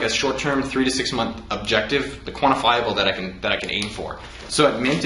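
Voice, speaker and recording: A man's voice: medium pitch, bright, forceful tone. A man hosting a casual chat and giving startup advice, loose and swearing freely. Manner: fast, loose, swears freely, laughs often. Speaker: host. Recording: echoey room with faint noise.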